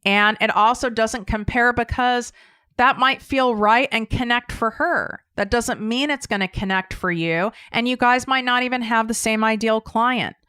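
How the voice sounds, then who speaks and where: A woman's voice: fairly high pitch, normal speed, bright, forceful tone, smooth, no echo, studio quality. An American woman hosting a podcast, speaking in a blunt, emphatic coaching style and casually swearing as she talks straight to her listeners. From United States. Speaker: podcast host. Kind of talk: casual solo coaching talk.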